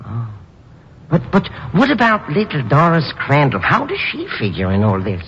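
Jittery, animated comic sidekick. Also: male voice, on the high side, moderate pace, slight background noise, exaggerated voice. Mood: puzzled. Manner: nervous, stammering, grumbling. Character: jittery, whining, comic small-time sidekick, a cowardly man. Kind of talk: 1950s radio drama acting. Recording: dull vintage radio recording with music.

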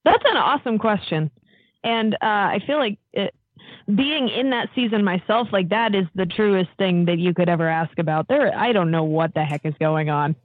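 A woman's voice: mid-range voice, moderate pace, no room sound. A woman in her early twenties, a podcast guest, talking in an enthusiastic, giggly way. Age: 20s. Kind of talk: casual chat. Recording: phone-like line.

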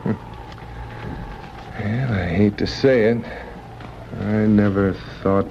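A man's voice: on the low side, fairly even intonation, takes it slowly, a little mellow. A man playing a stubborn, accusing frontier townsman in old radio drama style, rustic and insistent. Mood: dismayed. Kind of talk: 1940s radio drama acting. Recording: dull, noisy vintage radio recording.

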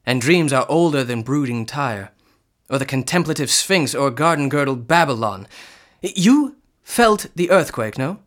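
A man's voice: mid-range voice, animated, a little slow, fairly bright tone. A man playing an intense young artist in a radio drama, speaking in an earnest, florid, urgent way. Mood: feverish, awed. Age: young adult. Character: intense, earnest young male sculptor haunted by dreams.

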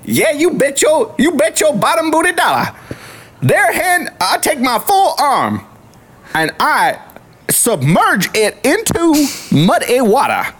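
A woman playing an excitable, folksy catfish prince from Louisiana with a Southern accent, rambling in an improvised comedy roleplay. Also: sits high, slow, heavily acted. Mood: proud, animated.

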